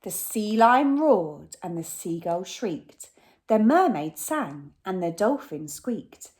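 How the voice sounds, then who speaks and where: A woman teacher reading a rhyming picture book aloud to children, animated, sometimes dropping to a whisper. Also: mid-range voice, slow pace.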